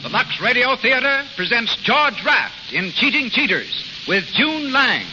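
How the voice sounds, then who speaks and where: An American man works as a formal radio announcer in 1930s style. His voice sits high and swings widely as he declaims scripted introductions and sponsor copy. The old broadcast is narrow and dull in sound. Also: very animated, measured pace, bright, forceful tone. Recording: old 1930s radio broadcast, band-limited, music behind.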